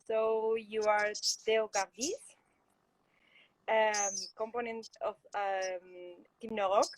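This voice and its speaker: A woman's voice: medium pitch, flat delivery, slow, no room sound, rough recording. A woman with a Spanish accent interviewing a guest in English over a video call, hesitant with many fillers and short laughs.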